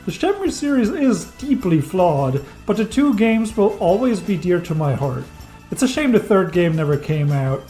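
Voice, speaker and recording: A man's voice: high-pitched, big pitch swings, normal speed, mellow, faint music behind. A thirty-year-old man, a non-native English speaker, narrating a scripted game retrospective in a wry, enthusiastic way with dry jokes. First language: not English. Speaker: game reviewer. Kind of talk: scripted video-essay narration.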